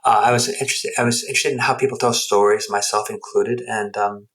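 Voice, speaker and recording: Fast-talking reflective man, low voice. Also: steady tone, fairly bright tone. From United States. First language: Spanish and English. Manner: rambling, reflective, many restarts. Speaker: podcast guest, UX researcher. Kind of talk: reflective storytelling interview. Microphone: headset earphones.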